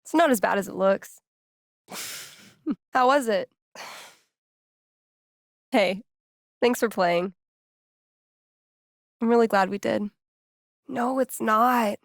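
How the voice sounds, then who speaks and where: A woman's voice: on the high side, very slow, vocal fry, long pauses, no echo, clean studio sound, heavily acted. An actress playing a laid-back, geeky young American woman in a video game, speaking in a casual, wry, playful way. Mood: playful, teasing. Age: young adult. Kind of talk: naturalistic video game character dialogue.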